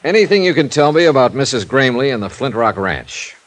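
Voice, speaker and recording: An actor playing a wry, dogged insurance investigator, with dry, sardonic, clipped delivery in 1950s radio detective style. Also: male voice, medium pitch, sing-song, brisk, close to an everyday voice. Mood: dry, patient. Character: wry, dogged freelance male insurance investigator narrating his case.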